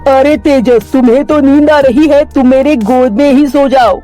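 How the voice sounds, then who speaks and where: A woman plays an earnest young boy in a children's cartoon tale. The voice is high, simple and caring, with music in the background. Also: normal speed, dark tone, lightly acted.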